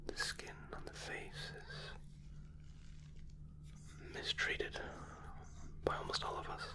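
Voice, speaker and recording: A man performing a whispered ASMR spa roleplay as a therapist, gentle, soothing and softly reassuring. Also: takes it slowly.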